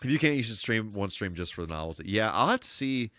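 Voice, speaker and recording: A man with a low voice hosts a casual game live stream. He talks in a rambling, halting way, thinking aloud as he goes and using mild, folksy exclamations. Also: animated, bright, forceful tone, no room sound.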